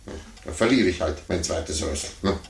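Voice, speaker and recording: An actor playing a pompous, condescending sore loser, stammering and blustering in a 1950s radio play. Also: male voice, sits low, animated, a little fast, vocal fry. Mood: flustered, indignant. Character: pompous adult man, a blustering, sore-losing chess player. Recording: roomy, noisy 1950s radio recording.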